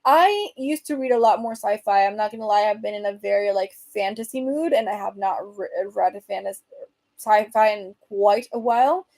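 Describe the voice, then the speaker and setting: A woman's voice: medium pitch, moderate pace, a little mellow. A young woman from Venezuela with a Venezuelan accent, casually chatting on a livestream and reading viewer comments aloud with lots of fillers. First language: Spanish. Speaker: host.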